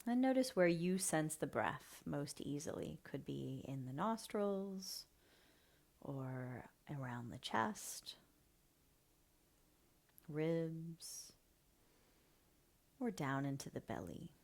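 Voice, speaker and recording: A woman guides a breathing meditation in a low, gentle voice. She talks very slowly and leaves long pauses. Many phrases trail off into a soft half-whisper. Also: lively intonation, vocal fry. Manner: slow, soft and soothing, often half-whispered.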